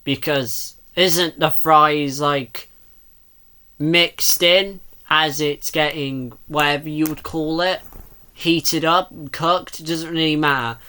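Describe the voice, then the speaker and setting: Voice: mid-range voice, fairly even intonation, takes it slowly, bright, forceful tone, smooth. A video reactor giving casual commentary in a questioning, nitpicking, rambling way.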